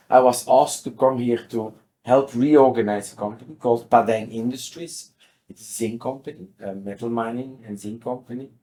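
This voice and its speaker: Measured Belgian man in his sixties. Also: medium pitch, darkish tone. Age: 60s. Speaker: interview guest, company CEO. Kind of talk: explanatory interview conversation.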